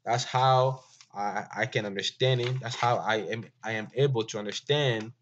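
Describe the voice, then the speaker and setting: A man whose first language is Ewe, giving an informal language lesson in a slow, halting way with many fillers and restarts. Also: on the low side, a little hiss or hum. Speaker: teacher. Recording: dull lo-fi recording.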